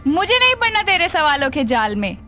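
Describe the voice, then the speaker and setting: A woman's voice: high-pitched, sing-song, brisk, pushed and bright, faint music behind, full character voice. A woman voicing an impatient, scolding middle-aged Indian mother-in-law in an audio storybook.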